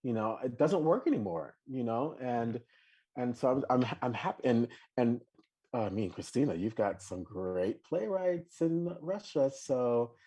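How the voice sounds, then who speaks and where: Husky-voiced man, animated live chat. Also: unhurried. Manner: animated, many restarts, bursts of high laughing exclamations. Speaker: podcast co-host.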